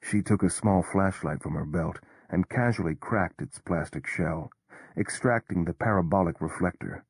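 A man narrating a story in the first person with a measured, understated delivery. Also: deep voice, vocal fry, breathy edge, dry recording.

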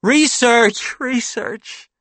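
A man voicing an excitable, scheming father with a coaxing manner in an audiobook story. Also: medium pitch, normal speed, no room sound, pitched above the performer's own voice, lightly acted. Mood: frantic.

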